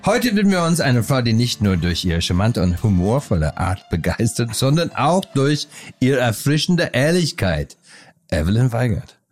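A man's voice: mid-range voice, big pitch swings, moderate pace, faint music behind. A man hosting a podcast, chatty and mixing English phrases into German he learned later in life. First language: not German. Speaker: podcast host. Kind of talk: lively podcast chat and scripted intro.

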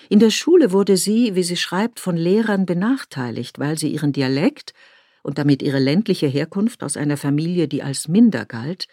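A woman from Tyrol, narrating a documentary feature in a measured, reflective way. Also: low-pitched, warm tone, no echo, clean studio sound. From Tyrol, Austria.

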